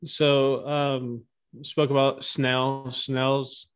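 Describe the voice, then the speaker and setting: A man's voice: medium pitch, level tone, very slow, clear, resonant tone. An American man co-hosting a podcast in casual, conversational talk. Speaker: fishing podcast co-host. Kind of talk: casual chat. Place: indoor room, on a video call.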